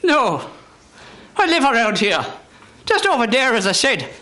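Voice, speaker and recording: A man's voice: very high-pitched, very animated, a little slow, sharp tone, heavily acted. A man playing a chatty, teasing country farmer with a stage Irish accent in a radio play. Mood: genial, rambling. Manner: folksy, rambling, teasing. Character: chatty genial country farmer on a horse cart. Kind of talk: live radio theatre. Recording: hissy live radio studio recording.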